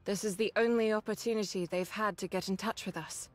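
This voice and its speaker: A composed, mature woman soldier from an anime game, acted by a woman, measured and gently firm. Her pitch is moderate, and soft music plays underneath. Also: fairly even intonation, close to an everyday voice. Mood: composed, businesslike. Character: calm, caring, mature female squad second-in-command.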